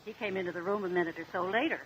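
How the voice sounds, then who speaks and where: A woman's voice: on the low side, rapid-fire, fairly bright tone, highs cut off, a little hiss or hum, lightly acted. An American woman playing a plainspoken neighbor housewife who gives curt, emphatic testimony in a mid-century radio drama. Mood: matter-of-fact. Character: blunt, plainspoken neighbor housewife and mother on the stand.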